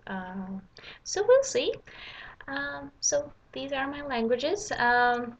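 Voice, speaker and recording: A woman's voice: high voice, big pitch swings, slow, creaky. A woman, speaking hesitantly in short phrases in a casual personal vlog. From Sweden. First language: Swedish. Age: 20s. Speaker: vlogger. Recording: dull, lo-fi home recording.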